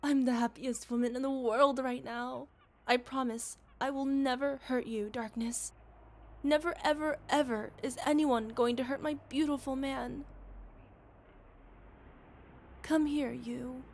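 A woman acting in an ASMR roleplay as a devoted, playful older girlfriend, with a soft, tender, teasing manner. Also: sits high, fairly even intonation, measured pace, a little hiss or hum. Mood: tender, adoring. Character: devoted, playful, smitten older girlfriend soothing her lover.

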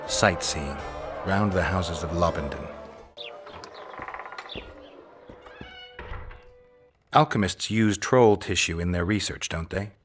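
A stoic monster hunter, played by a man for a fantasy game, speaking in a low male voice. He is terse, dry and deadpan, with soft music underneath. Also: normal speed, close to an everyday voice. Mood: dry, inquiring. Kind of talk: video game character acting.

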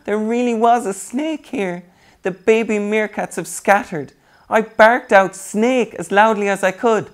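A man voicing a young female meerkat who cries out in high, shrill barking calls, frantic and loud. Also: measured pace. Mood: sobbing. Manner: frantic crying out, later sobbing. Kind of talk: storybook reading.

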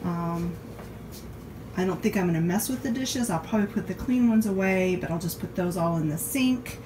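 A woman's voice: lowish voice, normal speed. Middle-aged woman, home vlog, chatty, phone camera indoors, faint room hum. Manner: chatty, explains as she works, frequent restarts. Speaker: home vlogger. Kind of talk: casual cleaning vlog narration. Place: country house, indoors, rooms with plants and a kitchen. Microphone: handheld phone camera.

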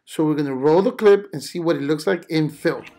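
A man in his forties hosting a children's read-aloud, explaining informally and haltingly to camera. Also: a little high, dark tone, reverberant, faint music behind. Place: small home kitchen, indoors.

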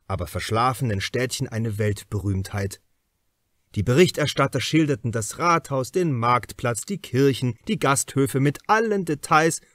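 A man narrating a crime novel as a scripted audiobook, deliberate and with dramatic emphasis. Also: mid-range voice, no echo, studio quality.

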